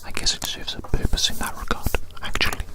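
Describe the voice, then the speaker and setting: A man whispering a breathy ASMR roleplay monologue in a rambling, repetitive, whimsically fussy way. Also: quick pace.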